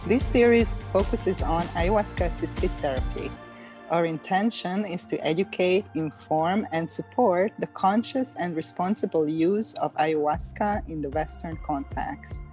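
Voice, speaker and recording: A woman's voice: lowish voice, a little slow, warm tone, soft background music. A woman hosting a podcast, speaking in an enthusiastic, conversational way. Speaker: podcast host. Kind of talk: casual podcast interview.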